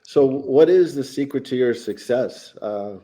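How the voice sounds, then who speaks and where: A man's voice: medium pitch, unhurried, warm tone. A man hosting an interview podcast in an enthusiastic, affirming, conversational way. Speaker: podcast host.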